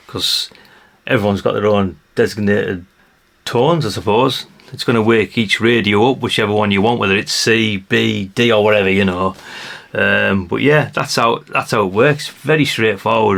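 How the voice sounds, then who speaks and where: A man's voice: fairly low pitch, gravelly, fairly bright tone. A man giving a casual hands-on demonstration of gadgets in an offhand, matter-of-fact way, often tagging his remarks with questions. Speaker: vlogger reviewing vintage radios.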